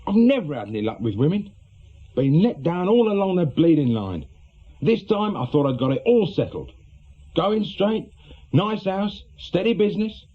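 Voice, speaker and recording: A jealous small-time English crook trying to go straight. His voice is husky and fairly high, with blunt, brooding, colloquial phrasing. It sounds like a muffled radio play. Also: very animated, moderate pace. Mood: bitter, wounded. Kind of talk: naturalistic British radio drama dialogue.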